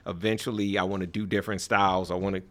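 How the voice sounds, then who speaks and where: A man's voice: low-pitched, flat delivery, a bit bright. A man co-hosting a radio show, chatting casually and praising a guest at length. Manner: affirming, drawn-out praise. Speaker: radio co-host. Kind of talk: casual radio studio chat.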